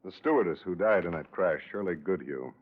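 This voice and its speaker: An American actor playing a gruff, curt, businesslike state police captain in 1950s radio crime drama. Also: male voice, low voice, expressive, fairly quick. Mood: questioning, firm. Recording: thin, narrow-band old radio recording.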